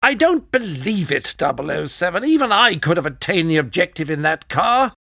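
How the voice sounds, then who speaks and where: An older British quartermaster in a video game, high in pitch and swooping in intonation. He is pompous and exasperated, nagging in clipped, sardonic phrases. The sound is close and dry. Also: big pitch swings, normal speed, gravelly, sharp tone, dry recording, heavily acted. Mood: exasperated, scolding. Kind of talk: scripted video game mission briefing.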